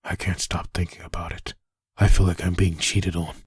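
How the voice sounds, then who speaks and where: A man playing a brooding, lovesick husband haunted by memories, in a husky voice for a dramatic comic dub. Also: deep voice, level tone, normal speed, no echo, studio quality. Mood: anguished, jealous. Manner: brooding inner monologue.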